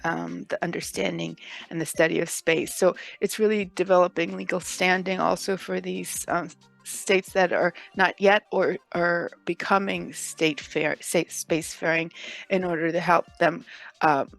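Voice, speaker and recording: A woman from Mexico, a legal expert, answering interview questions thoughtfully with many pauses and fillers. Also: lowish voice, level tone, creaky, soft background music. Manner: thoughtful, many ums and uhs.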